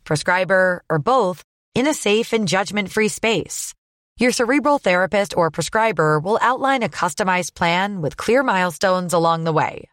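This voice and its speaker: A woman reading a scripted advertisement in a smooth, reassuring tone. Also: mid-range voice, dry recording, studio quality. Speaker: ad voice-over. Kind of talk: scripted ad read.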